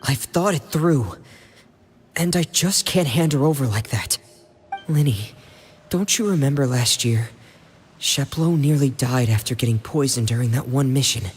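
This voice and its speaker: A performer voicing a shy, loyal teenage younger brother in a video game, soft-spoken, hesitant and sincere. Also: medium pitch, moderate pace, natural voice. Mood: earnest, resolute. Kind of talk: English game voice acting. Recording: music behind the voice. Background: game background music.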